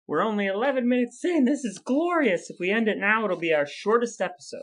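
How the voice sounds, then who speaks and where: Male podcast host, higher-pitched and bright, playful mock-grand delivery, music underneath. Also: expressive, brisk. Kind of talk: casual podcast banter with scripted sign-off.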